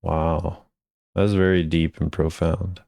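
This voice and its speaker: A man's voice: sits low, little pitch movement, slow, creaky, a little mellow, no room sound, studio quality. A man giving casual, laid-back reaction commentary on game voice lines in a musing way.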